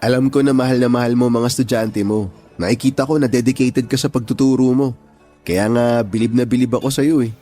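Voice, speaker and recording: A man's voice: mid-range voice, fairly even intonation, fairly quick, warm tone, faint music behind, lightly acted. A man playing a devoted, sweet-talking young suitor in a Filipino radio love drama, earnest and reassuring. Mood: fond, teasing.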